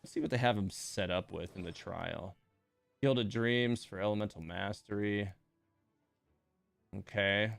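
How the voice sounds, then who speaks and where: Guy streaming a game, lowish smooth voice, just chatting and thinking out loud. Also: measured pace, long pauses, soft background music. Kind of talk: casual live stream commentary on a game.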